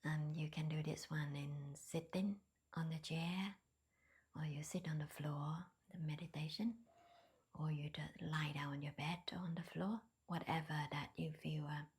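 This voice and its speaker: A woman leading a guided meditation in a soft, breathy near-whisper, with gentle, hesitant non-native phrasing. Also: deep voice, steady tone, measured pace. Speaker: meditation teacher.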